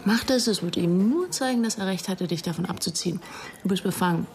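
An actress playing a determined, combative police investigator in a naturalistic radio crime drama, speaking in a dry, insistent way. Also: female voice, medium pitch, brisk, close to an everyday voice. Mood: dry, curt.

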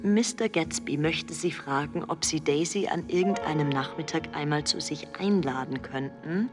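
A woman's voice: sits low, moderate pace, lightly acted. An actress playing a poised, discreet woman in a radio play. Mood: discreet, polite. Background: music.

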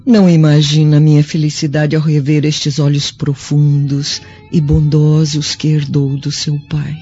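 An aged, ailing Roman mother, loving and tired, in radio-drama style. The tone is very mellow. The recording is dull, with music softly behind. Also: sits low, little pitch movement, unhurried, breathy edge. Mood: tender. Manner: tender, weary. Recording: dull lo-fi audio over faint music.

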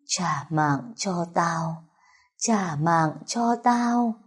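Woman doing a slow menacing female ghost. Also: on the low side, expressive, slightly rough, heavily acted.

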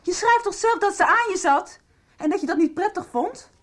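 A hurt teen girl in a dutch radio drama, really high voice, swings up and down, blunt. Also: expressive, normal speed, a bit bright. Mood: hurt, bitter. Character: Dia, hurt, jealous, blunt teenage girl. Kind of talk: Dutch radio soap acting.

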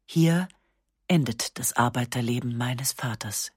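Woman narrator, low husky voice, dry close studio sound, measured reflective literary reading. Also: no echo. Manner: calm, measured, reflective first-person narration. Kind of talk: scripted literary reading for radio. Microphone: close studio mic.

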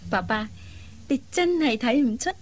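A woman playing a kind, persuasive young elder sister in a Cantonese radio drama, coaxing and teasing in drawn-out phrases. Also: sits high, sing-song, full character voice. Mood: playful, fond.

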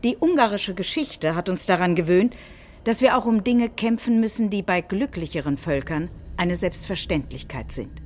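A woman's voice: mid-range voice, expressive, moderate pace, darkish tone, a little hiss or hum, lightly acted. A woman as a solemn, declamatory nationalist leader. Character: combative nationalist head of government giving a rousing speech. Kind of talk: staged reading of a political speech.

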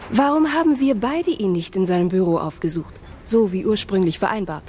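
An actress playing an anxious, determined wife in a radio drama, insistent and sometimes whispering. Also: female voice, mid-range voice, lively intonation, a little fast, dark tone, lightly acted. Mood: tense.

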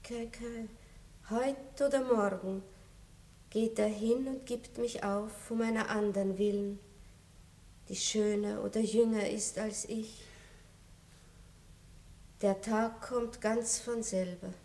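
An actress playing a gracious, aging aristocratic lady in a 1960s radio play, speaking softly with a tinge. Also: mid-range voice, fairly even intonation. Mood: gently resigned. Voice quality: soft, measured, melancholy. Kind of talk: 1960s radio drama acting.

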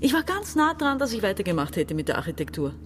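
A woman's voice: mid-range voice, very animated, quick pace, a little hiss or hum, lightly acted. An actress playing an embittered, domineering woman around forty with an Austrian accent, emphatic and reproachful in a radio drama. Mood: frustrated, bitter. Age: 40s. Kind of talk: German-language radio drama.

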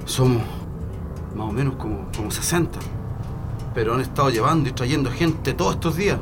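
An actor playing a worried but steadfast young political prisoner in a radio drama, subdued and earnest, with a Chilean accent. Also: male voice, medium pitch, animated, brisk, close to an everyday voice. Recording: music behind the voice.

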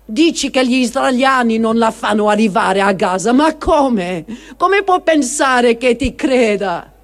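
A performer reading a first-person diary as a grieving, guilt-ridden adult child, in a deliberate dramatic style with emphatic pauses. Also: mid-range voice, lively intonation. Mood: sorrowful. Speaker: reader of testimony.

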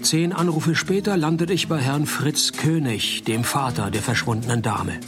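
An actor playing a laconic, sarcastic private detective in a hard-boiled radio play. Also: male voice, medium pitch, normal speed, music in the background, natural voice. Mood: dry narrating. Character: deadpan, wisecracking male private detective narrator.

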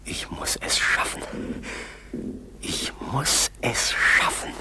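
An actor playing a feverish, wounded pilot who talks to himself in halting, gasping fragments in a 1960s German radio play. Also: male voice, in a whisper, natural voice. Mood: exhausted. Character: wounded, exhausted, feverish downed pilot struggling through snowy forest. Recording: hissy, noisy 1960s tape recording.

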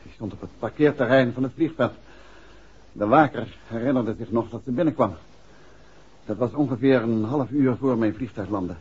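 An actor playing a courteous, composed gentleman detective with a polite, measured, questioning manner in a 1960s Dutch radio drama. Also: mid-range voice, slightly rough. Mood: concerned, composed. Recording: dull, slightly noisy vintage radio recording.

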